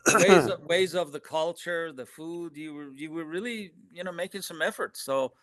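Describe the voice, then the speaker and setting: A man's voice: a little high, normal speed, a crowd in the background, low-quality recording. A man whose first language is Persian, hosting an interview in a friendly, hesitant way with many fillers. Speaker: interview host. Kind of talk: interview conversation.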